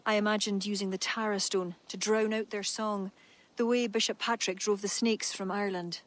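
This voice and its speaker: A woman's voice: medium pitch, flat delivery, moderate pace, lightly acted. Woman acting a wistful, spirited Irish storyteller. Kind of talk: video game cutscene acting.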